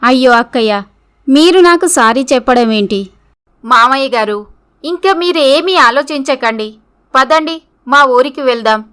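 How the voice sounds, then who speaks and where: Lady doing story dialogue, bright high voice. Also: expressive, unhurried, long pauses, clear, resonant tone. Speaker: story voice performer.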